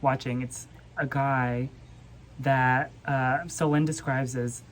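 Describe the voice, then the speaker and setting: A young woman with a strikingly low voice chats casually about books. There is noticeable noise in the recording, and she speaks informally, hedging as she goes. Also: fairly even intonation, slow. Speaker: book vlogger. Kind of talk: casual book chat. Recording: clear background noise.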